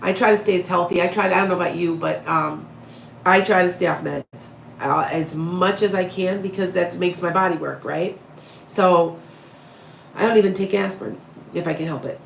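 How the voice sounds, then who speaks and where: A woman with a raspy voice and a head cold, chatting to her viewers on a live stream in a rambling way, often starting her sentences over. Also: fairly low pitch, normal speed, echoey room, a little hiss or hum, low-quality recording. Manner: rambling, restarts often, talks straight to viewers. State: head cold, sniffly and short-winded. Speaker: psychic medium live-streaming to followers. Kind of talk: casual live-stream chat.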